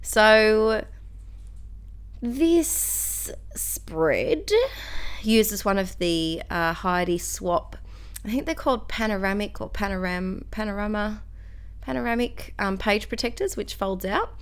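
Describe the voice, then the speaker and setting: A woman's voice: mid-range voice, animated, slow pace, clear, resonant tone. A young Australian woman chatting casually through a craft video, rambling and self-deprecating. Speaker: crafter and school teacher.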